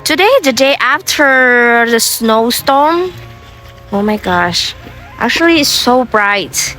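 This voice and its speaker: A young woman with a Vietnamese accent chats slowly to her vlog viewers, her voice a touch high. She pauses and restarts often and fills gaps with "uh" and "um". Music plays clearly behind her. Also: expressive. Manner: soft, unhurried, with frequent fillers and restarts. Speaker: vlogger. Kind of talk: casual vlog narration.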